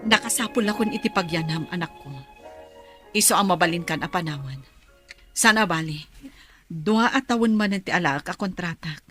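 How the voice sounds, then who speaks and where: A woman's voice: lowish voice, normal speed, pauses often, over music, lightly acted. A woman acting a caring but firm middle-aged mother in an Ilocano radio drama, emotive, with a Filipino accent. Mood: tender.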